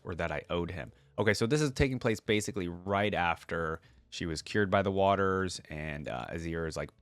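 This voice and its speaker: Male host, lowish voice, dry close sound; relaxed colloquial delivery for lore reading and casual commentary. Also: no room sound. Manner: laid-back, colloquial fan talk. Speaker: reaction video host reading lore aloud.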